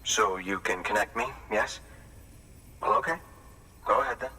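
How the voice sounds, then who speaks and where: A wary recluse. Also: male voice, lowish voice, pushed and bright, slight background noise, poor audio. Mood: measured. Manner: wary, measured. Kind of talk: video game voice acting.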